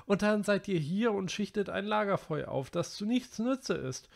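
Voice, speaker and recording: A man voicing a chubby, anxious schoolboy who pleads in a high, whiny, breathless way. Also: animated, moderate pace, vocal fry. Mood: indignant.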